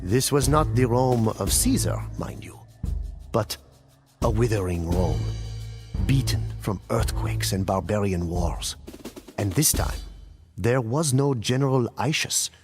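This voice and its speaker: Grave war chronicler, deep-set pitch, solemn, theatrical, measured game narration, music clearly behind. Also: male voice, expressive. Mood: grave, dramatic. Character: grave male storyteller recounting ancient wars. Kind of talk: dramatic scripted storytelling narration.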